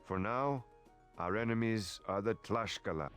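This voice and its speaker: A man playing a proud, stern Aztec war commander in a video game, speaking in a declamatory, deliberate way. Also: on the low side, close to an everyday voice. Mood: stern, concerned. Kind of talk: scripted game dialogue. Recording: background music under the voice.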